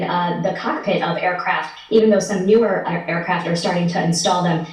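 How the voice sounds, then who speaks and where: A woman's voice: on the low side, darkish tone. A woman reporter giving conversational, explanatory answers as a guest in a news interview. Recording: very echoey, distant from the mic.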